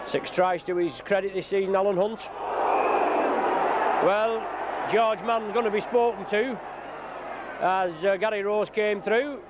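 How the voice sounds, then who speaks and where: A man giving live rugby league commentary, slightly croaky from a cold, calling play by play with frequent "uh" fillers. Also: sits high, fairly even intonation, measured pace, long pauses. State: croaky from flu. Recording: dull lo-fi broadcast tape with noise.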